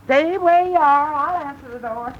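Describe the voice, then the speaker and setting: A woman playing a bossy, meddling grandmother, brisk and outspoken, in 1930s radio comedy style. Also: high voice, lightly acted. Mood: bossy, breezy. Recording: dull, noisy 1930s radio recording.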